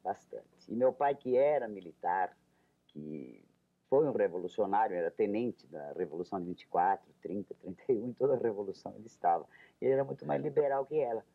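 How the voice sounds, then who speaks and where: A woman's voice: very deep voice, animated, fairly quick, creaky. Sixties woman from São Paulo with an Italian lilt, chatty and insistent, heard over a thin, phone-like old broadcast. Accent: São Paulo Italian-immigrant accent. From São Paulo, Brazil. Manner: emphatic, repeats herself, chuckles. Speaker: guest. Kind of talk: interview answers. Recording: narrow, phone-like 1990s TV audio.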